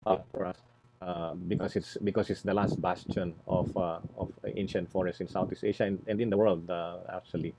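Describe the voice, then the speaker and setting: A man speaking as an NGO panelist, deliberate and halting, often repeating words as he searches for phrasing. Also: deep voice, steady tone. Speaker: environmental NGO executive, panel presenter. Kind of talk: spontaneous panel presentation. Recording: muffled, noisy online webinar audio.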